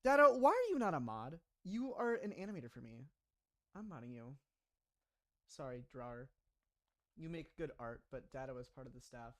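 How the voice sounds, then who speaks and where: A man's voice: medium pitch, very animated, normal speed, pauses often, a bit bright, no room sound. Rambling, chatty young man. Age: mid-twenties. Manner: rambling, chatty, self-deprecating with frequent laughs. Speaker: streamer and animator. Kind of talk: casual livestream chat, reading chat.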